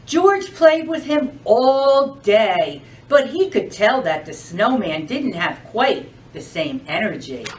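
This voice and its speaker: A woman's voice: mid-range voice, very animated, slow, hardly any pauses, distant mic, a little hiss or hum. A woman reading a children's storybook aloud as a storytime reader, expressively and addressing the young listeners directly.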